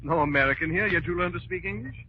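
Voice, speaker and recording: An actor playing a confident, suave gentleman adventurer in polished, theatrical 1940s radio style. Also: high-pitched, quick pace, natural voice. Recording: noisy, muffled 1940s radio recording.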